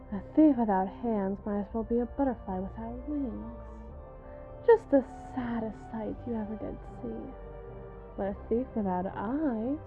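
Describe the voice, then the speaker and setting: A woman's voice: fairly high pitch, narrow, tinny audio. A woman playing a menacing, mockingly sweet female mob boss in a dramatic ASMR roleplay, taunting and drawing out her words for emphasis.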